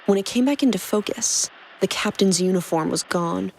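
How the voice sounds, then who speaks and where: A woman's voice: mid-range voice, moderate pace, a little hiss or hum. A woman doing dramatic scripted narration of fan fiction as a podcast host. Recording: music clearly behind the voice.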